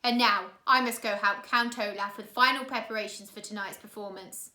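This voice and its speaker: A woman's voice: medium pitch, steady tone, moderate pace, bright, forceful tone. A woman voicing a menacing, bossy henchman from a children's story, in a roomy space. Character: gruff, threatening henchman, adult man. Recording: roomy, echoey room sound.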